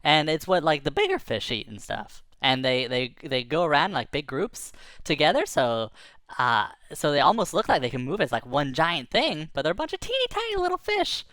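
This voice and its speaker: Female children's storyteller: deep-pitched, very animated, chatty improvised delivery, dry recording. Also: normal speed, no echo. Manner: animated, conversational, acts out dialogue.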